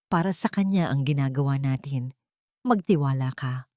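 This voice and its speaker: A woman voicing a calm, protective man in a dramatic story, speaking in a hushed, steady warning manner. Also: very deep voice, moderate pace, no room sound, studio quality, voice pushed down. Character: calm, cautioning man, quiet protective accomplice.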